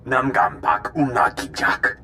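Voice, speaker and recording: A man's voice: mid-range voice, a little slow, sharp tone, slight background noise. A man voicing an alien in a video game, declaiming in an invented tongue with clipped syllables. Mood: declamatory. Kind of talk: video game cutscene acting.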